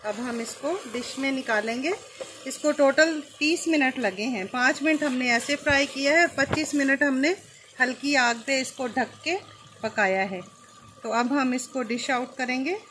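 A woman hosting a cooking channel, calmly explaining each step as she cooks at an outdoor stove. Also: sits high, unhurried, noisy background.

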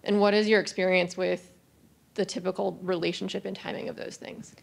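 A woman with a strongly gravelly, fairly low voice asks a measured follow-up from the audience. She speaks precisely into a handheld mic. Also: flat delivery, slight background noise. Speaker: audience member asking a question. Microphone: handheld microphone.

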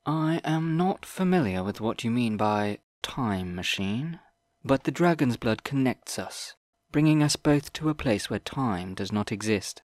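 A man reading an audiobook, voicing an ancient old wizard who speaks in a slow, deliberate and formal way. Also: medium pitch, lively intonation, no room sound, studio quality, lightly acted. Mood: measured.

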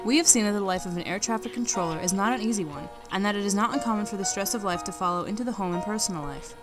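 A woman's voice: mid-range voice, racing. A young woman, a student narrator, reading a formal documentary script. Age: young adult. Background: music bed.

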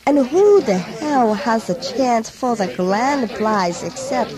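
High-voiced indignant young protester. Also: female voice, lively intonation, slow pace. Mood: indignant, scornful. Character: young woman student protester, blunt and indignant. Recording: crowd noise behind the voice.

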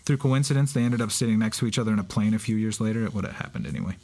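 A man with a medium-pitched voice co-hosts a podcast. He moves between scripted explanation and banter with dry humour. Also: rapid-fire, warm tone. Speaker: podcast co-host. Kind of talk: semi-scripted banter and exposition.

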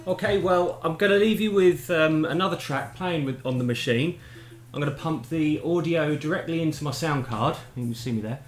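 A middle-aged man giving a casual, relaxed demonstration to camera with understated enthusiasm. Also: fairly high pitch, moderate pace, few pauses. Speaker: presenter of his own equipment demo. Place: small indoor home room. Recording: echoey room, music playing behind. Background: music from the tape machine.

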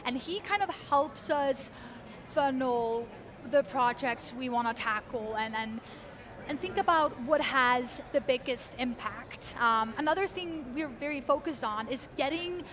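A young woman with an Icelandic accent explains her work eagerly and clearly. Her voice sits high and light. She is recorded on a lapel mic at a busy convention hall booth. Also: measured pace, a crowd in the background. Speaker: guest, research engineer. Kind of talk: conversational interview.

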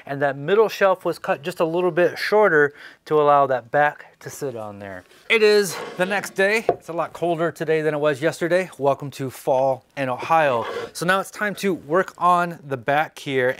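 Higher-pitched American man, casual how-to host. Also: fairly bright tone, slight background noise. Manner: informal, conversational. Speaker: woodworking host. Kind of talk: casual how-to tutorial narration. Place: indoor workshop studio.

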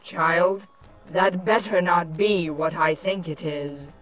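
A woman's voice: lowish voice, steady tone, slow pace, faint music behind. A woman voicing a haughty, irritable changeling queen villain, sardonic and scolding, in a cartoon-style fan audio drama.

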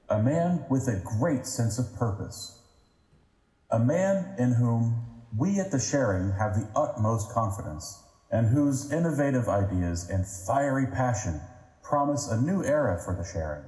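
A man's voice: medium pitch, animated, unhurried, warm tone, close to an everyday voice. A man playing a polished, reverent master of ceremonies, making a stately formal introduction in an audio drama. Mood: ceremonious. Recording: echoey hall with long reverb.

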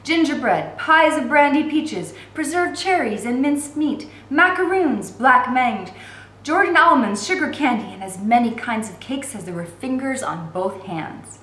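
A woman in her forties reading a novel aloud as an expressive narrator. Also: high voice, measured pace. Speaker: reader of a novel for learners. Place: indoor room at home. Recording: roomy echo with faint background noise.

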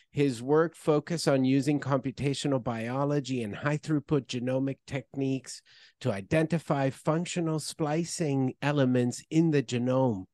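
A man's voice: on the high side, a little mellow, no room sound. Man hosting an interview. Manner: formal, enthusiastic introductions. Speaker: interview host. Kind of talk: scripted intro then interview questions. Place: office with branded backdrop. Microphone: headset with boom mic on a video call.

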